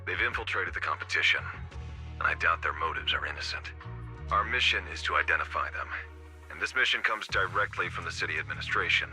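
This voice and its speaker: An actor playing a stern, curt commissioner in a video game, speaking formally and with composed authority. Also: male voice, deep voice, brisk, sharp tone, faint music behind.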